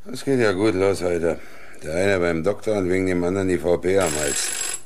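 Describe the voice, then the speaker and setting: An actor playing a gruff, blunt, defensive construction foreman in a German radio crime drama. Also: low-pitched, moderate pace, noisy background, natural voice. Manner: blunt, terse.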